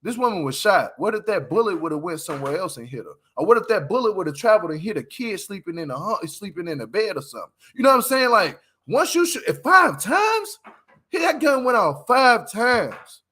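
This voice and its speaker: A man with a high, forceful voice hosts a casual livestream. He gives his opinions emphatically, leaning on slang and repeating his points to drive them home. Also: sing-song, fairly quick, slightly rough. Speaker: livestream host.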